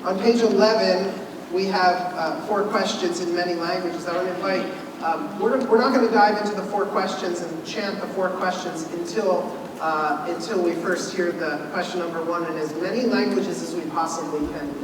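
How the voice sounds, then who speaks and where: Man, high register, leads a community meal from the front of a reverberant hall, mic distant with room noise. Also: fairly even intonation, moderate pace. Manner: instructive, inviting, restarts mid-sentence. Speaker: seder leader. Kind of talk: leading a community ritual meal. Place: large hall. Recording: echoey hall from far off, background noise.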